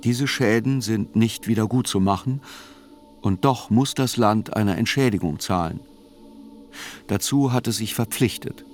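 Radio play chronicler, played by a male actor: low, matter-of-fact, deliberate, literary narration over soft music. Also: lightly acted. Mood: sober, measured. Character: sober male court chronicler narrating a terror trial. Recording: music under the voice.

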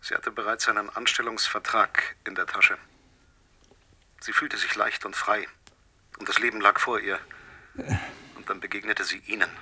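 An actor in a radio drama playing a vengeful husband, a coldly controlled and menacing caller who speaks with deliberate mockery. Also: male voice, on the low side, level tone, sharp tone, slight background noise. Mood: bitter, measured.

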